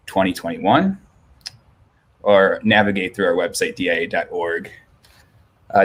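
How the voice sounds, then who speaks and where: Deep-voiced man reading a museum intro. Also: a bit bright. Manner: reads announcements steadily. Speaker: museum host. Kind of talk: scripted introduction.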